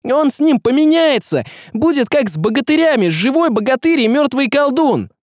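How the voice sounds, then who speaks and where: A man voicing a frantic, outspoken young man in a storybook, shouting in blunt, slangy speech. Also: very high voice, big pitch swings, normal speed, no room sound, clean studio sound, voice pushed up. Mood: alarmed, urgent.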